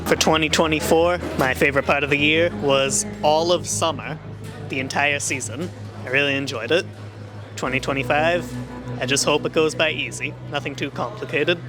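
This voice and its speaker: A man's voice: on the high side, fairly even intonation, moderate pace. A teenage male student casually answering an interview question. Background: music.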